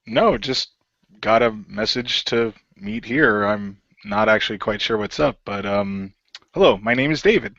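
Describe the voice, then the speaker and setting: A man's voice: on the low side, measured pace, fairly bright tone, no echo, dull audio. A man playing a puzzled, easygoing middle-aged man in an amateur radio play, speaking casually and hesitantly. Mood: puzzled, awkward.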